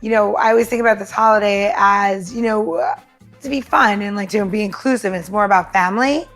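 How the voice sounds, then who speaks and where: A woman's voice: medium pitch, steady tone, a little fast, fairly bright tone, faint music behind. A woman from New York chatting casually and jokingly as a podcast co-host, explaining recipes in an informal way.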